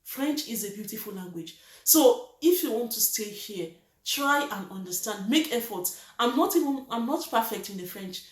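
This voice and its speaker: A woman's voice: fairly high pitch, expressive, normal speed, roomy sound. A Nigerian woman talking to camera in a vlog, earnest and emphatic, repeating her points. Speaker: vlogger. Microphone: reads from a list below the camera.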